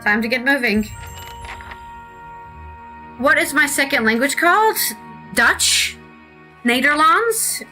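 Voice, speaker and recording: A woman's voice: high voice, big pitch swings, slow pace, long pauses, pushed and bright. A woman casually commenting on a game she is playing and reading its text aloud, chatty and jokey. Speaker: game streamer. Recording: voice over game music.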